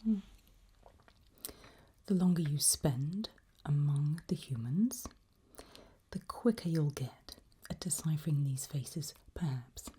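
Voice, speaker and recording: A woman doing an ASMR roleplay as an examiner, whispering in a breathy, husky voice with a gentle, reassuring, encouraging manner. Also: deep voice, expressive, slow pace, long pauses, a little hiss or hum.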